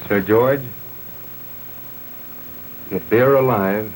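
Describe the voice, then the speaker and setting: Polite, determined western hero. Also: male voice, fairly low pitch, drawn-out words. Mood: polite, resolute. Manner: courteous, straightforward. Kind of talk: 1930s B-western film acting. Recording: noisy old 1930s film soundtrack.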